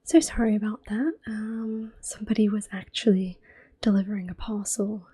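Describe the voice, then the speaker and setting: A woman giving gentle, unhurried ASMR gameplay commentary in a soft, whispered voice, reassuring and close to the microphone. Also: medium pitch, level tone, husky. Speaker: ASMR gaming host.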